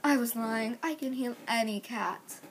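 A woman's voice: on the high side, measured pace, a little hiss or hum, close to an everyday voice. A woman voicing a young apprentice cat arguing with a sibling in an exasperated manner. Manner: exasperated, argumentative.

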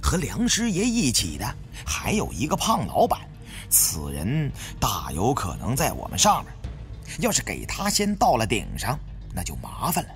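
A man narrating a first-person adventure audiobook in a dramatic, suspenseful, theatrical manner. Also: mid-range voice. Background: faint background music.

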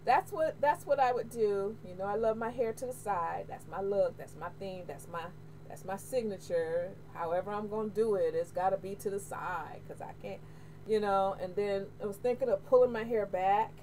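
A middle-aged American woman chatting casually to camera, candid and expressive, repeating phrases for emphasis. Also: medium pitch, normal speed. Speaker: hair vlogger. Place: small room at home, indoors. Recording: noisy home webcam audio.

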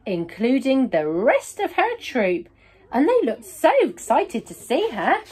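Female storybook reader: expressive, highly animated, slightly high voice, emphatic on key words. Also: slow pace, a little hiss or hum. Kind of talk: children's picture book read-aloud.

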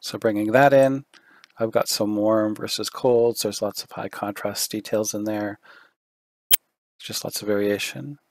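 A man giving a screen-recorded software tutorial, thinking aloud with fillers, his voice going hoarse. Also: lowish voice, fairly even intonation, measured pace. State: losing his voice, throat clearing.

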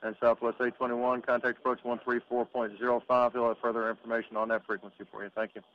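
Flat-toned male approach controller. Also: mid-range voice, quick pace. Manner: steady, polite requests with hesitations. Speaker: air traffic controller. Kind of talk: radio phraseology. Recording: muffled radio transmission with hiss.

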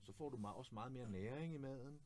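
A man's voice: mid-range voice, darkish tone, a little hiss or hum. A man in his forties talking politics in a casual conversation, earnest and explanatory, often restarting his sentences and adding tag questions. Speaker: candidate and host. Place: clothing shop, indoors.

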